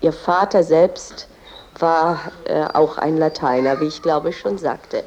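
An actress playing an earnest, reverent tour guide, explaining in a halting way with small self-corrections. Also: female voice, low-pitched, a little mellow, slight background noise. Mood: earnest, explanatory. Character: female museum guide, earnest and reverent about a poet's family. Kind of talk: guided-tour commentary in a radio drama.

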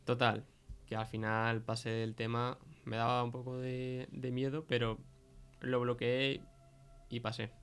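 A man's voice: mid-range voice, little pitch movement, measured pace, a bit bright, clear, resonant tone, faint music behind. An actor playing a rattled, defensive young man recounting a creepy stalker in hesitant, slangy Castilian Spanish. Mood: rattled, insistent. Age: young adult. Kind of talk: conversational interview in an audio fiction podcast.

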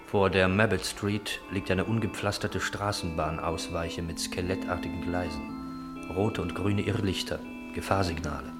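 A man narrating a radio play, reading literary scene descriptions in a measured way. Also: sits low, fairly even intonation. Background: music.

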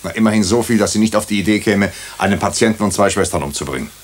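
Actor as dry, low-voiced doctor narrator. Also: male voice, rapid-fire, natural voice. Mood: guarded, dry. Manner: dry, ironic. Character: wry male hospital doctor, narrating a crime case. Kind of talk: radio drama narration and dialogue.

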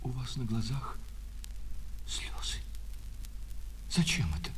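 An actor in a radio play voicing a lovesick shop clerk who swings between polite sales patter and bitter reproach. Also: male voice, mid-range voice, steady tone, takes it slowly, a bit bright, whispered. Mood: flustered, covering up. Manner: servile sales patter alternating with reproachful, insistent talk. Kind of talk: Russian radio play of a classic short story. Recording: long reverb with music behind.

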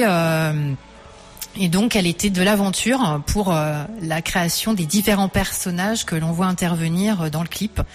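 A woman musician being interviewed on radio, talking thoughtfully with many pauses and fillers. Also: on the low side. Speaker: musician guest.

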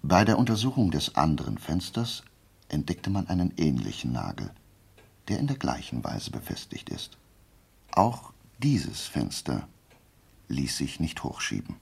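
Methodical radio-play sleuth. Deep, gravelly, animated, lecturing with deliberate emphasis and total confidence. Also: male voice, exaggerated voice. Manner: deliberate, emphatic, didactic explaining. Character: methodical, self-assured male amateur detective reasoning aloud.

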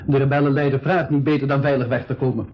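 An actor playing an earnest young nephew in a 1980s educational adventure radio play, practical in manner. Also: male voice, medium pitch, steady tone, fast, close to an everyday voice. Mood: concerned, practical. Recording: dull 1980s radio recording.

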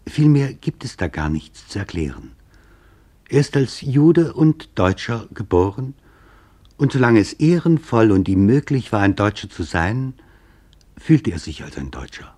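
Husky, solemn male documentary narrator. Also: fairly low pitch, big pitch swings, a little mellow. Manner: solemn, deliberate, formal. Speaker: narrator presenting a radio documentary. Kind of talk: scripted literary documentary narration.

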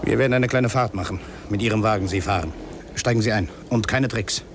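Man doing a cold hitman, low voice, curt n matter of fact, car noise behind, german radio crime drama. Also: fairly quick, natural voice. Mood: cold command. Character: cold, businesslike male hired killer.

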